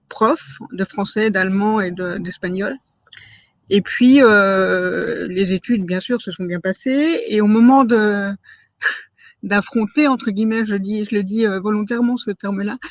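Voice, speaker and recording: A woman's voice: medium pitch, no room sound, muffled recording. A woman in her forties giving a personal testimony in an interview, hesitant, with many fillers and restarts and words she stresses as if in quotes. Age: 40s.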